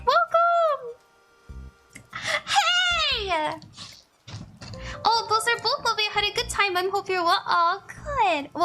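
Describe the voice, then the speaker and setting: A woman's voice: very high voice, pushed and bright. A woman psychic chatting casually on a live stream, bubbly and giggly, thanking viewers often. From Hawaii.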